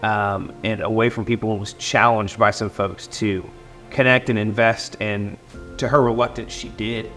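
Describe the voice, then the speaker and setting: A man with a lowish voice talks about a student he mentored, relaxed and admiring, with some fillers. Faint music runs under him. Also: normal speed. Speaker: campus ministry leader. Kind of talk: tribute video interview.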